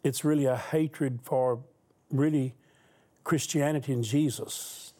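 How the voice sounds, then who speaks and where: Man, talk show host, earnest, preacherly, mid-pitched voice. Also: fairly even intonation, a little slow, a little mellow. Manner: earnest, preacherly, asks pointed questions.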